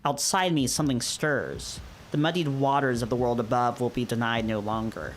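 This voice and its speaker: A man playing a brooding, dazed visual novel narrator in a solemn, measured dramatic reading, with some background noise. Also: medium pitch, natural voice. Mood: solemn, grave. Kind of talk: dramatic literary read-aloud narration.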